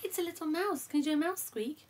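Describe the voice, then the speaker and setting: A young woman reading a picture book aloud to her toddler in a child's bedroom, animated and coaxing, with quiet asides. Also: high voice, quick pace, background noise. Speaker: parent reading a bedtime story.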